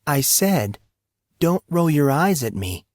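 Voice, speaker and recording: A man's voice: on the high side, sing-song, takes it slowly, a little mellow, dry recording, studio quality, pitched above the performer's own voice. A man voicing an affectionate, teasing woman with a sweet manner in an audio story. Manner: sweet, forced cheer.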